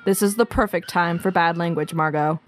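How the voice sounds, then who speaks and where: A woman's voice: lowish voice, a little fast, close to an everyday voice. A woman playing a blunt, commanding schoolgirl superhero sister in a radio drama. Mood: defiant.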